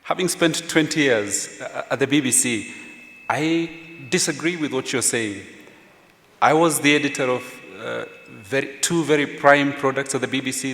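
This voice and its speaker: A middle-aged man with a Kenyan accent speaks as a panelist. He is measured and hesitant, often repeating words. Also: mid-range voice, fairly bright tone. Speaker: panelist, former broadcast editor. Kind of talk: panel discussion remarks. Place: large conference hall. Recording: echoey conference hall with long reverb.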